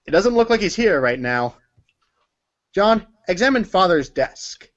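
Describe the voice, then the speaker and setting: A man's voice: high voice, very animated, unhurried, a bit bright. A man narrating a read-along story in the second person, giving commands to a character. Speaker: narrator of a group reading. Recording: dull lo-fi audio.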